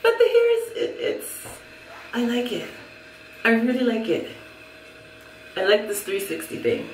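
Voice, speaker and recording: A woman's voice: a little high, huge pitch swings, unhurried, recorded from a distance, slight background noise. A middle-aged Jamaican woman reviewing a wig in a casual, chatty way, laughing often and repeating herself. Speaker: hair vlogger. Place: small room at home, indoors. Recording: echoey room, a little off mic.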